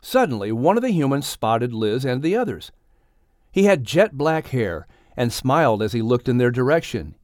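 A man narrating a children's audiobook story in an expressive, scripted storytelling style. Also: medium pitch, normal speed, no room sound, studio quality.

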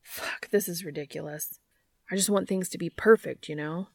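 A woman's voice: on the low side, moderate pace, vocal fry, a little mellow, dry recording, clean studio sound. A woman voicing a brash, slangy, earnest man in an audiobook-style story. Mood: hesitant, earnest. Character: brash, swearing, tender young-ish man named Dean.

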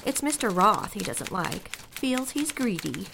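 A woman's voice: medium pitch, big pitch swings, measured pace. A woman playing a candid, businesslike secretary in an audio drama, polite but pointed. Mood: candid, pressing. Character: brisk, candid 1950s-style female office secretary on the phone. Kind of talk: scripted audio drama dialogue. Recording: clear rustling, clicking and typing noise.

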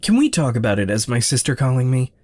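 An actor playing a gentle, guilt-ridden young man, halting and introspective, in a naturalistic audio drama. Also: medium pitch, big pitch swings, no room sound. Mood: halting, reluctant. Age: young adult.

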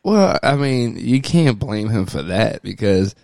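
A man with a lowish voice chats casually as a podcast co-host. He uses street slang and curses often. The recording is very dry. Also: big pitch swings, unhurried, creaky, a little mellow, dry recording. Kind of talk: casual chat about TV shows.

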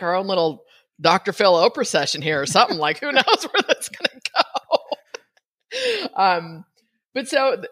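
A woman's voice: sits low, a bit bright. A middle-aged woman hosting a podcast, chatting casually with frequent laughs and asides. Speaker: podcast host.

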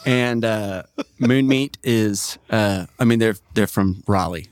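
A man's voice: on the low side, slow pace, clear, resonant tone. An American man hosting a podcast in casual comedic banter, teasing and playfully laying down the rules. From Oklahoma City, United States. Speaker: podcast host.

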